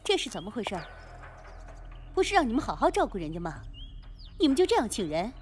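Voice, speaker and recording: 一名女演员在广播剧中饰演冷酷、有心计、语带嘲讽的帮派女叛徒。. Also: female voice, fairly high pitch, huge pitch swings, brisk, a little hiss or hum. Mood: cool, composed. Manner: taunting, coolly condescending. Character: cold, scheming female gang insider turned betrayer. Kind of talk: Chinese radio drama acting.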